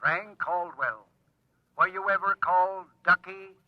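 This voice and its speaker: An actor voicing a helpful, matter-of-fact computer with measured, impersonal delivery in an old radio drama. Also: male voice, sits high, no echo. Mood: neutral. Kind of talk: old-time radio acting. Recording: dry, dull vintage radio recording.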